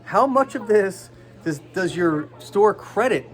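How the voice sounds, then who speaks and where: A man's voice: high voice, animated, slow, a crowd in the background. A man trading casual, joking banter as a vlogger's companion, playful and prone to repeating his words. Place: on location at a flea market.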